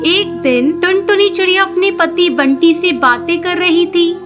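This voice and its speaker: A woman's voice: very high voice, measured pace, music in the background. A woman narrator reading a scripted children's cartoon story.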